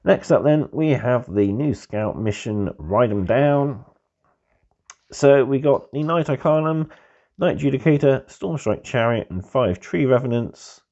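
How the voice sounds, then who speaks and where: Hoarse guy with a bad cold doing a chill hobby review, gravelly low-key voice, pitch jumps around a lot, kinda dull lo-fi audio. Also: measured pace. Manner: relaxed, easygoing, keeps calling things pretty cool. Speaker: host of a tabletop hobby channel. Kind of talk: casual magazine review. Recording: dull lo-fi recording.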